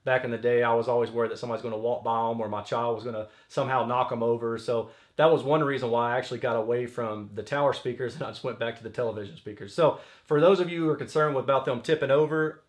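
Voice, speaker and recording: Male gear reviewer, medium pitch, informal and technical, explanatory commentary delivered conversationally. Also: fairly even intonation, fast, few pauses. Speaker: audio reviewer and host. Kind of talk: casual explanatory product commentary.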